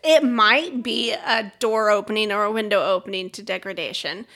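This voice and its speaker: A young woman co-hosting a podcast, trading playful, quick-witted teasing in casual chat. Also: medium pitch, sharp tone. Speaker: podcast co-host.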